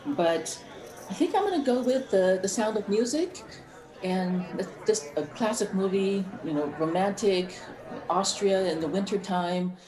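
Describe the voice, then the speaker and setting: A woman chatting casually in a group as a podcast panelist, in a halting, conversational way. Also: mid-range voice, animated, a crowd in the background. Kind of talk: casual group chat about holiday movies. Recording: echoey room, background voices.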